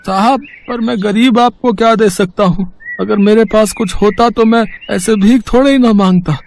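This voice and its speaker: A man playing a poor, humble, kind young beggar in a storybook tale, with a gentle, pleading, deferential manner. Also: high voice, normal speed, a little hiss or hum. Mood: humble, hesitant. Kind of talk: Hindi storybook character dialogue.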